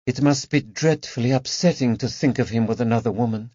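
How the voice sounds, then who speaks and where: A man voicing a gentle, tactful woman who comforts a friend with soft words. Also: medium pitch, a little fast, dry recording, natural voice. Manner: soft and soothing. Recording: slightly dull dry recording.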